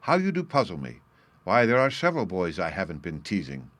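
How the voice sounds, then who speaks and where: A man reading aloud, voicing a bright, earnest little fairy girl in a storybook. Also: low voice, wildly swooping pitch, fairly quick, dry recording, exaggerated voice. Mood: lively.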